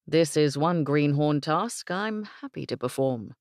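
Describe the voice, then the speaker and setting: A woman voicing a polite young English gentleman working as a ranch hand, with formal, courteous speech. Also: low-pitched, expressive, a little slow, no echo, clean studio sound.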